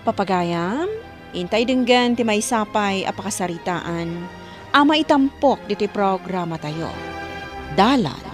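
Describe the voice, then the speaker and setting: A woman's voice: mid-range voice, animated, unhurried, faint music behind. A woman with a Filipino accent presenting a radio drama in a scripted, devotional narrating style. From Philippines.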